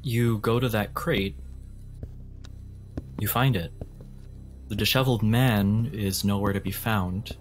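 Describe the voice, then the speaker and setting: A man with a lowish voice runs a tabletop game as game master. He describes scenes deliberately to his players. Music plays softly behind his narration. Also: steady tone.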